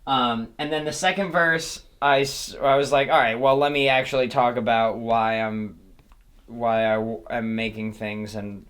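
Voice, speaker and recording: A man's voice: medium pitch, measured pace. A young man in his twenties, a rapper and beat producer, chatting casually and rambling in a small home recording studio. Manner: relaxed, rambling, with fillers.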